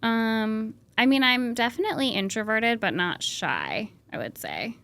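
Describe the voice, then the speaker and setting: A woman's voice: fairly high pitch, sing-song, unhurried, a bit bright, dry recording. A woman from Rhode Island, guest on a podcast, friendly and thinking aloud, often laughing. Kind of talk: casual interview.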